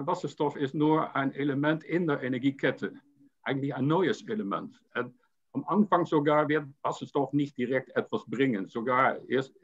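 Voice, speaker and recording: Halting older man on a video call. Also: mid-range voice. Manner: halting, many fillers and repeated words. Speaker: panel guest. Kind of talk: panel discussion over video call. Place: home room with painting. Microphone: video call webcam. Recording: dull lo-fi call audio.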